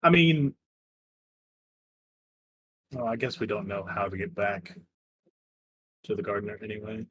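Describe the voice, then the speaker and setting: Husky man, dry sound. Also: lowish voice, expressive, measured pace, long pauses, fairly bright tone, no room sound, rough recording. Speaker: tabletop game player. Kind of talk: casual in-game talk.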